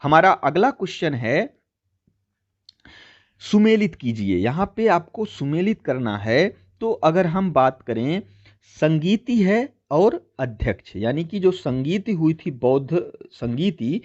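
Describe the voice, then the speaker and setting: A man's voice: on the high side, very animated, takes it slowly, muffled recording. A man teaching an exam-prep lesson, addressing listeners as friends and repeating key points as he explains. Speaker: teacher hosting a history quiz channel.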